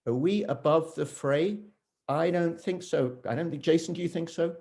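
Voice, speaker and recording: A man's voice: fairly high pitch, gravelly, darkish tone. A man speaking as an academic at a conference, loosely delivering his talk and often breaking off to rephrase himself. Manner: halting, self-interrupting, with frequent rephrasing.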